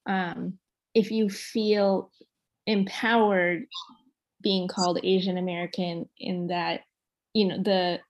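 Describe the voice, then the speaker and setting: A woman's voice: lowish voice, darkish tone, poor audio. Thoughtful woman asking probing questions. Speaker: teacher co-host. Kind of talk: interview questions.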